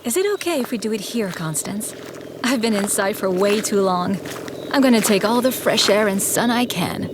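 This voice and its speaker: An actress playing a patient, frank woman engineer in an audio drama, calm and reassuring with dry humour. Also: medium pitch, moderate pace, background noise, lightly acted. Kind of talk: scripted audio drama acting.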